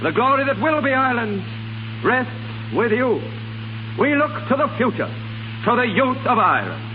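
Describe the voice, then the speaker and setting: A man's voice: extremely high pitch, very animated, normal speed. An actor in a 1930s radio drama playing a solemn, impassioned Irish patriot who declaims to his people in weighty phrases. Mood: solemn, rousing. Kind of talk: 1930s radio acting, public oration. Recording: dull, noisy 1930s radio, long reverb.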